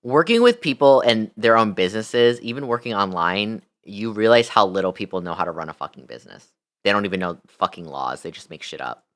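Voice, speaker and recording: A woman's voice: extremely low pitch, expressive, brisk, fairly bright tone. A woman streamer reacts in a casual, conversational way. She is blunt and swears freely, yet speaks with open sympathy for the people she comments on.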